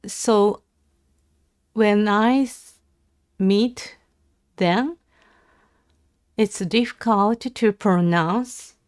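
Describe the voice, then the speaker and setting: A Japanese woman with a Japanese accent, practising language aloud on a casual livestream in a halting, hesitant way. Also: medium pitch, clean tone, no room sound, clean studio sound. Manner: hesitant, halting English with self-corrections. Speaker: live streamer learning English. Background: computer keyboard typing and clicking.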